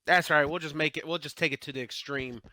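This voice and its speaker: A man's voice: a little high, steady tone, fast talker, sharp tone, no room sound. A man doing informal live play-by-play of a wrestling game, talking through his slips, his voice starting to give out. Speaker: e-fed wrestling streamer and commentator. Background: wrestling game crowd.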